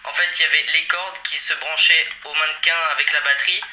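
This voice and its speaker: A man's voice: a little high, fairly even intonation, a little fast, sharp tone. A man rambling hesitantly through a casual, confused complaint on a phone call, in a noisy recording. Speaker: prank caller.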